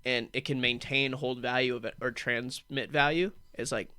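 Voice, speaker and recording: A man chats as a comedy guest on a radio show, riffing with playful bits. His voice has a bright, forward tone. The studio sound is close and dry. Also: medium pitch, fairly even intonation, normal speed, smooth, no room sound. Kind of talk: casual comedy chat.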